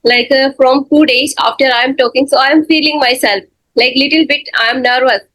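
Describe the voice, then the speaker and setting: A woman speaking English with a fairly high voice, in a hesitant, filler-heavy way as she keeps the practice chat going. The sound is dry and close. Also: steady tone, brisk, a bit bright, no room sound, rough recording. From India. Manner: hesitant, fills with "like" and "uh". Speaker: host of an English practice channel. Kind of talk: casual practice conversation.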